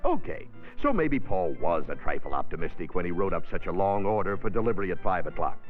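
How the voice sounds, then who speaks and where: A man's voice: low voice, big pitch swings, fast. An actor playing an exasperated, sardonic car service man narrating a comic 1960s training film in slangy, theatrical style. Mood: wry, defensive. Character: wisecracking, exasperated car dealership service man griping about customers. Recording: tinny, band-limited 1960s film soundtrack, music behind.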